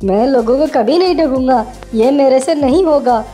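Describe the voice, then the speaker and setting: Brief: woman voicing a gentle poor priest, earnest and pleading, mellow tone over faint music. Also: high voice, moderate pace, voice pushed up, close to an everyday voice. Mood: firm, earnest. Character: poor honest village priest, gentle and despairing man.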